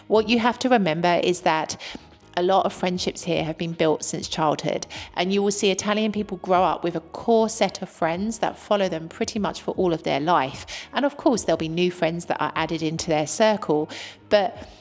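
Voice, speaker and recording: A British woman vlogs straight to camera, earnest and candid, with a lowish voice. She talks in long, flowing sentences, and faint music plays softly behind her. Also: normal speed. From Britain. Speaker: vlogger living abroad. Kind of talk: casual talk to camera. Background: faint background music.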